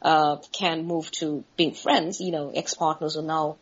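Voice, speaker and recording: A South African woman in her forties, speaking as a podcast guest, thoughtful and measured, with hesitant fillers. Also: low voice, fairly even intonation, husky, highs cut off. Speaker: guest, friendship expert. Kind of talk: podcast interview.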